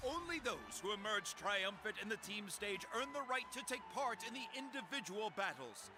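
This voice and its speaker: An actor playing an excitable male tournament announcer, projecting formally to hype up a crowd in a video game. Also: high voice, moderate pace, over music. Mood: grand, building. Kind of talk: arena announcement.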